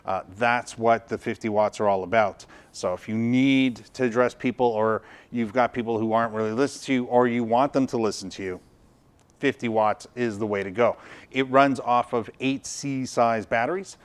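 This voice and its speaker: A man in his fifties with a medium-pitched voice presents a product review. He explains each point conversationally, in the casual style of someone demonstrating gear at a table. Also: normal speed, smooth. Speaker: product reviewer and video host. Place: indoor studio workshop at a tabletop.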